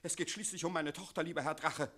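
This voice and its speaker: A man's voice: on the high side, fairly even intonation, quick pace, fairly bright tone. An actor in a radio play playing an anxious archivist and protective father, officious and pleading. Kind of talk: German radio play acting.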